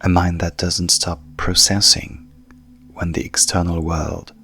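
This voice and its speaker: A man speaking as a hypnotherapist in a calm, hushed, soothing manner, softly guiding a sleep meditation with long pauses. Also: low voice, vocal fry. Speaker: coach and hypnotherapist guiding a session.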